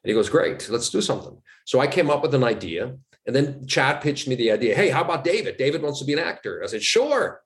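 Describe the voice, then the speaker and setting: Swiss guy chatting in an interview, lively storyteller, does little voices for quotes. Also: medium pitch, fast, hardly any pauses. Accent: Swiss German. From Switzerland. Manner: animated storyteller, acts out quoted dialogue. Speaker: actor guest. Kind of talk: casual interview chat.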